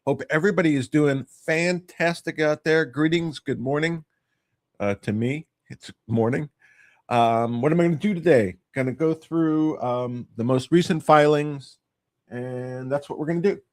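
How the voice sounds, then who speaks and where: A man's voice: on the high side, normal speed, no room sound, studio quality. A man with a stuffy, nasal voice from allergies, hosting a casual solo legal news commentary and reading out jokes in a rambling, self-correcting way.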